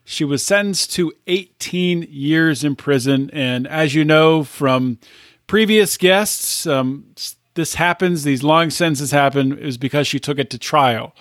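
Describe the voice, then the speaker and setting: A man hosting an interview podcast, explaining things in a measured way, with halting restarts as he sets up his guest. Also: on the high side, fairly bright tone, no room sound, studio quality. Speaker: podcast host. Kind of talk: casual interview.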